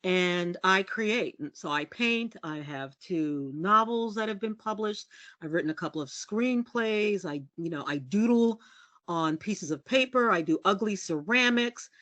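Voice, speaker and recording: A middle-aged woman journalist from the US, telling candid stories as a podcast guest in a low, resonant voice. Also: unhurried, no echo. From United States. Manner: lively, candid and self-deprecating, laughs often. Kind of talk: casual storytelling interview.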